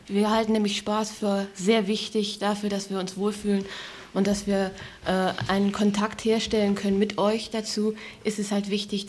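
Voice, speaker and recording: A woman presenting on a noisy radio recording. Her voice is medium in pitch with very flat intonation, and she addresses listeners in unscripted sentences.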